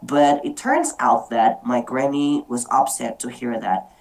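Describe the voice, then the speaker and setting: Woman moderator, extremely low voice, slow unhurried speech, hesitant fillers, video call. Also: fairly even intonation, darkish tone, rough recording. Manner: hesitant, many fillers and restarts. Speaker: meeting moderator. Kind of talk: online group discussion, personal storytelling.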